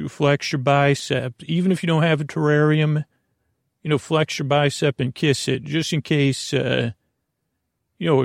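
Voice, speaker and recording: Male sleep podcast host, gravelly and close-sounding in a dry space, meandering and halting through whimsical bedtime rambles. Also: medium pitch, no echo. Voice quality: creaky, gravelly. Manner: meandering, halting, repeats words and drifts into whimsical tangents.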